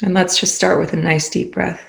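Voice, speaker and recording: A meditation guide leading a session in a soothing, unhurried manner with long pauses between phrases. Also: medium pitch, flat delivery, creaky, a bit bright. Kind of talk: guided meditation, spoken slowly.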